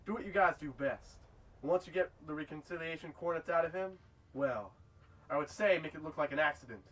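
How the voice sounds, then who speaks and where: An actor playing a gruff, ruthless outlaw gang leader with a commanding, folksy way of talking in a sci-fi western drama. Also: male voice, a little high, brisk, a bit bright, low-quality recording. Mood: cold, commanding.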